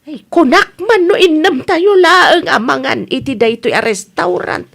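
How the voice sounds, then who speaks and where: Comedy radio drama aunt, played by a woman: Ilocano accent, high-pitched, slow and drawn-out. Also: expressive, gravelly, a bit bright, exaggerated voice. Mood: gossipy, insistent. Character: aunt chatting with her grown relative.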